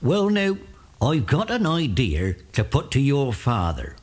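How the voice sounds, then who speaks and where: A man voicing a kindly rustic shepherd with a folksy, unhurried manner in a children's audio drama. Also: mid-range voice, wildly swooping pitch, breathy edge, heavily acted. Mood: friendly, earnest.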